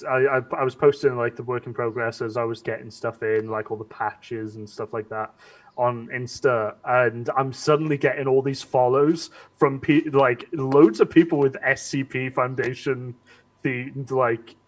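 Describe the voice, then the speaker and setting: A young man talking casually about his hobby on a live stream. He rambles and keeps restarting his sentences. His voice sits at an ordinary middle pitch for a man. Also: fairly even intonation, poor audio. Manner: rambling, halting, with many restarts.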